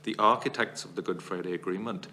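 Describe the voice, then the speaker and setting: A man giving formal testimony as a witness before a parliamentary committee, laying out long arguments in a measured, analytical way. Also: deep voice, bright, forceful tone, slight background noise.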